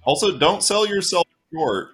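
A man's voice: sits high, very animated, unhurried, a bit bright, faint music behind. A man co-hosting a podcast in casual, conversational talk. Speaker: podcast co-host.

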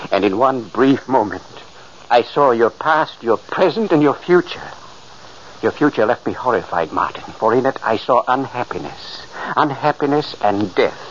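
An actor playing the earnest spirit of a dead brother, theatrical and deliberate, in 1940s radio style. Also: male voice, mid-range voice. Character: ghost of an old brother, earnest, urgent, solemn. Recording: muffled, noisy old radio recording.

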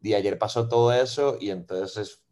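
A Venezuelan-born man in his thirties with a Costa Rican accent, chatting casually as a podcast guest and filling pauses with "ma" and "eh". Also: on the low side. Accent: Costa Rican Spanish. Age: 30s. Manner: relaxed, fills with "ma" and "eh". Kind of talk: casual interview chat.

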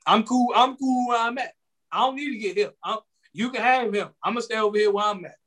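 A man's voice: sits high, a little fast, a bit bright. A man in his late twenties talking casually on a podcast, rambling and slangy, often repeating his words. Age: 20s. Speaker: podcast co-host.

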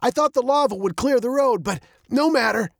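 A man's voice: very high-pitched, fairly quick, gravelly, no echo, clean studio sound, pitched above the performer's own voice, exaggerated voice. A man voicing a bold, unflappable father in an adventure storybook. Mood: confident, urgent. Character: bold, unflappable dad with a daring plan.

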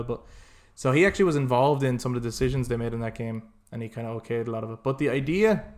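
A man co-hosting a podcast, chatting casually and enthusiastically about video games with plenty of swearing. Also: medium pitch. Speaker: podcast co-host.

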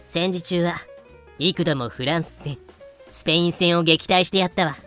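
A woman's voice: fairly low pitch, moderate pace, a bit bright, soft background music, lightly acted. A woman playing a proud female merchant in an audio drama, lecturing in haughty, old-fashioned speech. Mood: boastful. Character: proud, archaic-talking female merchant from colonial Carolina. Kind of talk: in-character explanation in a voice drama.

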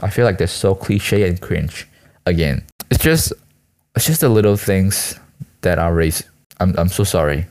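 Young man, student from Malaysia, low-pitched. Casual solo vlog ramble, halting and self-mocking, full of slang. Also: lively intonation, a little mellow. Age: teens to young adult, school student. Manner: hesitant, self-deprecating, slangy. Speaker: student vlogger and aspiring actor.

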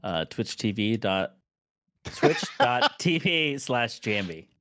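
A man's voice: mid-range voice, wildly swooping pitch, takes it slowly, gravelly, a bit bright. A man talking as a guest on a casual podcast, easygoing and plain-spoken. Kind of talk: casual chat.

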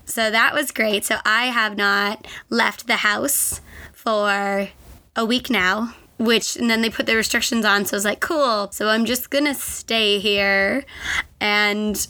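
A young woman chats with a hoarse, cold-roughened voice that still keeps a bright, forceful edge. She rambles in a joking, self-mocking way, like a co-host catching up with a friend. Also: medium pitch, steady tone, slow pace. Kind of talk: casual chat.

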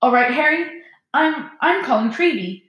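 A woman's voice: sits high, expressive, normal speed, a bit bright, roomy sound, voice pushed up. A woman voicing a starstruck young schoolboy, breathless, eager and gushing, in a storybook reading. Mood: nervous, breathless.

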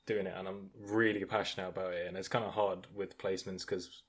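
A young man, an engineering student, chatting candidly and telling animated stories with casual swearing. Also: low voice, a little fast, breathy edge. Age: young adult. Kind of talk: casual podcast chat.

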